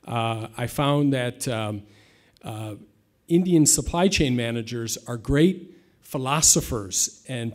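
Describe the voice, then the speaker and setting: A man in his thirties giving a conference presentation from a stage podium, with light humor and frequent fillers, speaking English as his first language. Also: mid-range voice. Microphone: podium mic.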